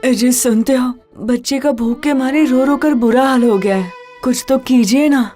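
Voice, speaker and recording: A worried cartoon village mother played by a woman, coaxing and imploring. Her voice is a little high, with quiet music playing behind it. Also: moderate pace, close to an everyday voice. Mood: distressed, imploring. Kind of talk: Hindi animated fairy tale dubbing.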